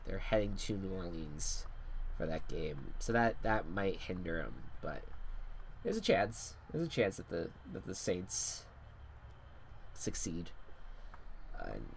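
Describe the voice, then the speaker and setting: A man's voice: sits low, very animated, takes it slowly, long pauses, slight background noise. A congested young man rambling and swearing casually while commentating a football video game. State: congested, stuffy nose. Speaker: gaming commentator.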